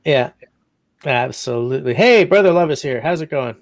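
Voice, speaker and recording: Male livestream host, gravelly, dry close mic, rambling chat. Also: mid-range voice, lively intonation, normal speed, no room sound. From Canada. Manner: meandering, reads out chat comments.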